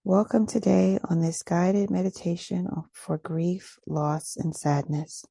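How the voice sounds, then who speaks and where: Low, husky woman guiding meditation. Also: steady tone, dark tone, no echo. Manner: soothing, unhurried, pausing between short phrases. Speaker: meditation guide. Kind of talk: guided meditation, gentle scripted instruction.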